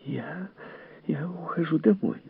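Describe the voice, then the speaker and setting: A man's voice: medium pitch, big pitch swings, moderate pace, a crowd in the background, pitched above the performer's own voice. A man voicing a chattering, smug and shallow society woman who is easily scandalized, in a gushing audiobook character voice. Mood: alarmed. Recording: narrow, phone-like lo-fi sound.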